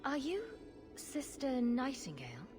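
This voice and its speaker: A woman's voice: sits high, wildly swooping pitch, unhurried, music in the background, full character voice. A woman playing a wry, inquisitive heroine in a fantasy video game, direct and questioning. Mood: direct, curious.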